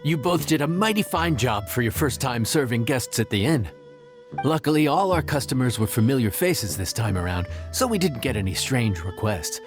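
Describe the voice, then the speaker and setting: Man playing friendly game cook, patient mentor, folksy, mid-pitched, animated intonation, faint music. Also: moderate pace, natural voice. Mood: reassuring. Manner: encouraging, folksy, explanatory. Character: affable, patient male inn cook mentoring newcomers. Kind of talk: video game cutscene acting.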